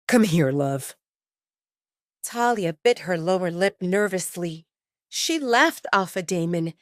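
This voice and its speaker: A woman's voice: mid-range voice, no room sound, clean studio sound. A woman reading scripted romance fiction as the narrator of an audio drama.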